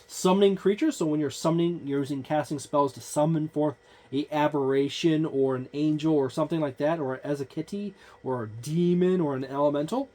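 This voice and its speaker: Mellow-voiced man reviewing a game book. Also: fairly high pitch, brisk. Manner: meandering, thinks aloud.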